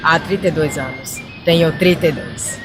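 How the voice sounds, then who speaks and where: A woman's voice: sits low, moderate pace, music in the background, close to an everyday voice. An actor with a Brazilian accent playing a boastful, vain Bulgarian army major in his early thirties who defends his glory proudly. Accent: Brazilian Portuguese. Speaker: acting student. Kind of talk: mock-documentary stage acting. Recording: echoey room with noise.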